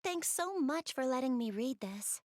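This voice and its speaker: A shy, bookish young girl from a video game, speaks in a clearly high voice. She is gentle and earnest, a little hesitant as she talks directly to the listener. The sound is dry, free of room echo. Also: lively intonation, normal speed. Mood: eager, polite. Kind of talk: video game cutscene dialogue, addressing the listener.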